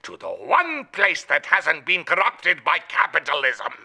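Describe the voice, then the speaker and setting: A man's voice: on the high side, wildly swooping pitch, a little slow, creaky, bright, forceful tone, slightly rough, heavily acted. An actor playing a melodramatic man who declaims grandly, in a theatrical clip. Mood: grandly dramatic. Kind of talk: theatrical acting in a played clip.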